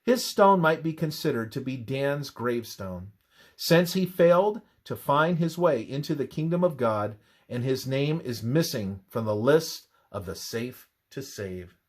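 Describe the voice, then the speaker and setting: A man reading a scripted religious narration in a measured, expressive manner. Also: mid-range voice.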